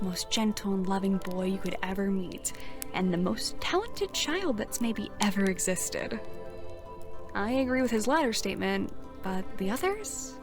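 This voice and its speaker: A woman's voice: mid-range voice, close to an everyday voice. A woman playing a brash, resentful eighteen-year-old knight in a fantasy audio drama, talking bluntly and sarcastically. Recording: music behind the voice.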